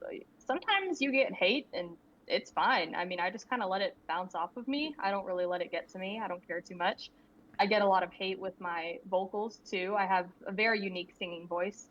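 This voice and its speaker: Young woman, student creator, candid and rambling, laughs easily; slightly dull lo-fi audio. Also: mid-range voice. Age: young adult. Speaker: guest, indie animator and creator. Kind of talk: casual interview answers. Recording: slightly muffled lo-fi audio with faint background ambience.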